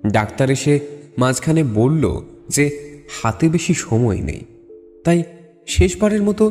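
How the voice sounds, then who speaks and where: A man's voice: on the high side, a little mellow. An actor playing an earnest, insistent man who is a friend and narrator in a dramatic audio story. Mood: grave recounting. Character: urgent male friend bringing bad news, also narrating.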